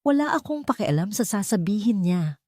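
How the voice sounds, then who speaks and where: A woman voicing a hot-tempered, defiant young man in love in an audio story, forceful and emphatic. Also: medium pitch, sing-song, moderate pace, no room sound, clean studio sound, voice pushed up. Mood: determined.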